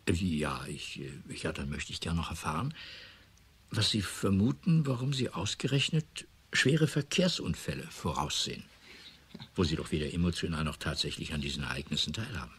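A man's voice: deep voice, lively intonation, moderate pace, fairly bright tone, a little hiss or hum. An actor in a radio drama playing an earnest, puzzled researcher, polite and hesitant, repeating his words.

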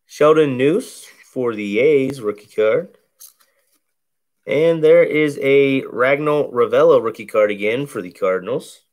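A man with a deep, bassy voice calmly calls out each baseball card he opens at a table indoors, in a relaxed running commentary. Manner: slow, laid-back play-by-play of each card. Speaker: card break host. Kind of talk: casual card-opening commentary. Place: indoors at a table covered in cards.

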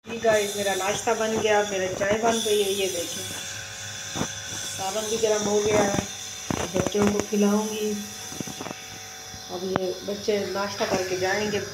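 A woman's voice: medium pitch, level tone, measured pace, long pauses. A middle-aged woman talking straight to her viewers in a casual daily vlog, filmed on a handheld phone at home and at a market. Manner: chatty, addresses viewers directly. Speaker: vlogger. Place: home, then busy outdoor market. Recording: echoey with loud street noise.

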